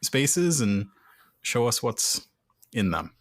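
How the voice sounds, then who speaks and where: A man's voice: medium pitch, very animated, slow pace, no room sound. A man casually describing his character and its gear as a player in a tabletop role-playing game. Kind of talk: casual chat, describing a character in third person.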